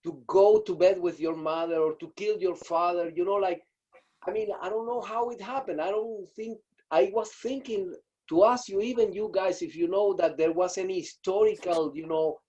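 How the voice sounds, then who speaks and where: A man joining a video call discussion, searching for his words with many halting fillers. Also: high-pitched, warm tone, dull audio. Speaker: audience participant. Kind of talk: spontaneous reflective remarks.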